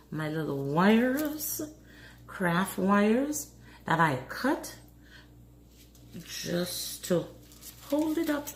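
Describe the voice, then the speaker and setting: A hoarse woman in a casual craft vlog, calmly talking through each step as she works. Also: deep voice. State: hoarse, voice going. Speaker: craft vlogger. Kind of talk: casual DIY tutorial narration. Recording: roomy echo with faint noise.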